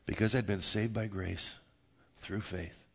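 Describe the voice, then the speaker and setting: A man's voice: fairly low pitch, steady tone, unhurried, vocal fry, slightly rough. An older or middle-aged man preaching a sermon as a pastor, speaking emphatically with rhetorical questions and repeated phrases. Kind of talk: sermon to a congregation.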